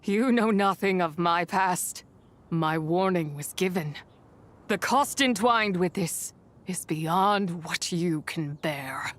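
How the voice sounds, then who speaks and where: A woman's voice: lowish voice, slow pace. A woman voicing an ancient, menacing spirit in a video game, grave and imperious, with weary, archaic speech. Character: ancient, menacing female spirit of impure energy, imprisoned and weary.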